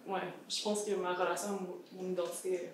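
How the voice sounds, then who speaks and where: A young woman with a Québécois accent, a student speaking in a relaxed, reflective way on a panel. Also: on the low side, brisk, a little hiss or hum. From Montreal, Quebec. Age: young adult. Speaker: university student, panel guest. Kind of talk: casual panel discussion. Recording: echoey room, distant mic.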